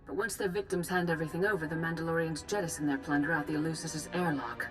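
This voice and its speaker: An actress plays a composed female Jedi master from a video game. Her voice sits fairly low and carries measured authority. She delivers grave, formal briefings over faint music. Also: lightly acted. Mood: grave, urgent. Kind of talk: formal dramatic mission briefing.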